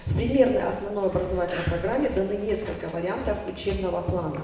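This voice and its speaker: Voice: medium pitch, mellow. Distant, echoey formal report reader. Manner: measured official delivery with occasional hesitations and self-corrections. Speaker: speaker presenting a report at a public meeting. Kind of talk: formal report read aloud, dense with statistics. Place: large meeting hall at an academy. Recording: echoey hall, distant mic, faint noise.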